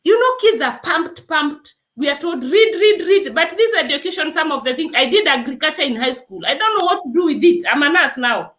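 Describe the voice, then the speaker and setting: Earnest Kenyan woman, high lively voice. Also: fairly quick, few pauses, roomy sound. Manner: earnest and exhortative, repeats "let me tell you". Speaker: nurse and guest. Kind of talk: emphatic testimony in an interview.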